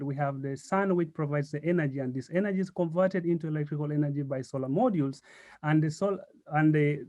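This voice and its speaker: A man from Uganda with a Ugandan accent gives a prepared team presentation in a formal, measured way. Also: on the high side, darkish tone, dry recording.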